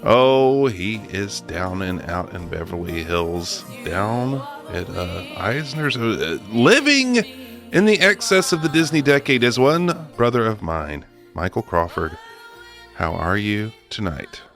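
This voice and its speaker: A man's voice: lowish voice, lively intonation, slow, fairly bright tone, faint music behind. A man hosting a comedy podcast, making playful, rambling introductions in a casual chatty style. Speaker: podcast host.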